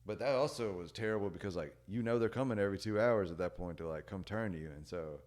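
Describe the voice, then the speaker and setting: A young man with a very low, deep voice talks in a loose, unhurried way. His sentences wander with fillers like "like" and "dude". He often fades out softly at the end of a thought. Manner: rambling, many "like" and "dude" fillers; relaxed, trails off quietly at ends of thoughts. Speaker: podcast guest. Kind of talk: casual storytelling chat.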